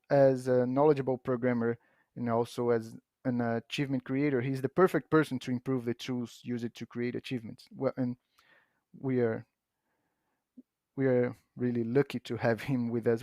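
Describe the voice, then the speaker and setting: A man whose first language is Portuguese, patiently explaining software on a podcast, often restarting his sentences. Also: medium pitch, dry recording, clean studio sound. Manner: patient, halting with frequent restarts and fillers. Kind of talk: casual explanatory chat.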